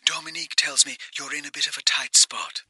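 A man voicing a composed, businesslike woman official on the phone in an audiobook, soft and breathy, with clipped delivery. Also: medium pitch, animated, a little fast, pitched above the performer's own voice. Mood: cool, understated.

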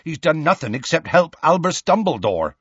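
A man voicing a big, gruff, stubborn gamekeeper from a storybook, blunt and colloquial in his talk. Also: mid-range voice, sing-song, fairly quick, a bit bright, no echo, highs cut off, voice pushed up, full character voice. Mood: testy.

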